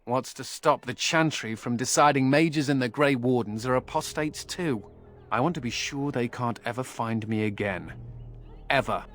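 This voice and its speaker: An actor playing a wry, flippant yet guarded fugitive mage in a video game, speaking conversationally. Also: male voice, medium pitch, moderate pace, fairly bright tone, close to an everyday voice. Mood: wry, uneasy.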